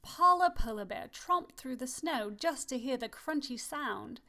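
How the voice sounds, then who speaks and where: A woman reading a children's picture book aloud, expressive and slowing down on key phrases. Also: sits high. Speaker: storybook reader. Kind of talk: children's story read-aloud.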